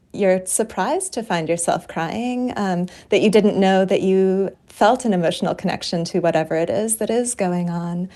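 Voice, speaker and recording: A woman's voice: mid-range voice, mellow. Reflective woman guest author. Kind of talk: radio interview.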